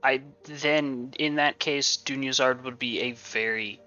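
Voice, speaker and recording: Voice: mid-range voice, fairly even intonation, measured pace, pushed and bright. A game streamer giving casual, wry commentary full of joking asides on a dull lo-fi mic. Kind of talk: casual live gameplay commentary. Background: video game music.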